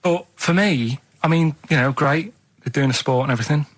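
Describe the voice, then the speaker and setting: A man's voice: a little high, fairly quick, background noise. A man with a Mancunian accent rambling earnestly and matter-of-factly in casual radio chat. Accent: Northern English, Mancunian. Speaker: radio show regular. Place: dry radio studio.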